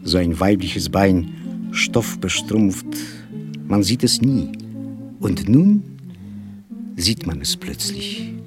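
A man performing a whimsical poet in a theatrical literary recitation, with playful, measured delivery. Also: deep voice, very animated, over music, exaggerated voice. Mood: whimsical, musing.

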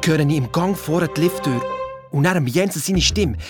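A male actor playing an excitable, boastful young boy detective with a Swiss German accent, telling his adventure in breezy everyday language for a children's audio drama. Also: a little high, normal speed, music in the background, close to an everyday voice. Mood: eager storytelling. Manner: breezy colloquial storytelling, blunt and bickering.

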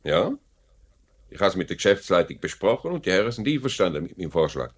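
A male factory director in a 1950s radio drama, formal and authoritative. He has a fairly low voice and a Swiss German accent, and he speaks in a measured, businesslike manner. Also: big pitch swings, breathy edge, heavily acted. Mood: firm. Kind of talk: 1950s radio play acting.

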